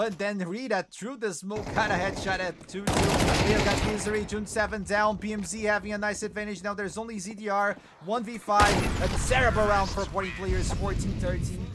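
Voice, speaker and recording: A man from Brazil with a Brazilian Portuguese accent giving excitable live commentary on a shooter match, scolding players and chatting with viewers. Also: high voice, noisy background. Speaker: esports streamer commentator. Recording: loud gunfire game audio behind. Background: game gunfire and clicks.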